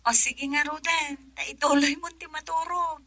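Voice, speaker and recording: A woman's voice: sits high, fairly even intonation, moderate pace, bright, forceful tone. An actress playing a woman confiding in her friend in an Ilocano radio drama, with an Ilocano accent.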